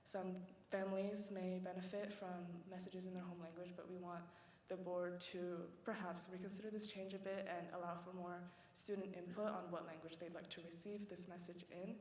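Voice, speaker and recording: A woman's voice: fairly low pitch, flat delivery, normal speed, darkish tone. A teenage girl speaking at a school board meeting during public comment, earnest and polite with frequent ums. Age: teens. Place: large meeting room. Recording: echoey hall, faint room noise.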